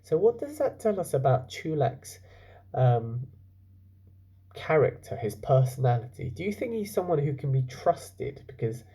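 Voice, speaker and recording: A man working as a teacher, reading a children's book aloud and pausing to explain it and put questions to his young listeners. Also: on the high side, big pitch swings, warm tone, slightly rough. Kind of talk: storytelling with explanatory asides to children.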